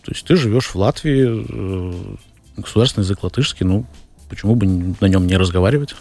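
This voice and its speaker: A man from Latvia, a film director, answering interview questions thoughtfully, with frequent pauses and fillers. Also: low-pitched, lively intonation, mellow, soft background music. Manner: thoughtful, hesitant, many fillers and restarts. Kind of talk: radio interview.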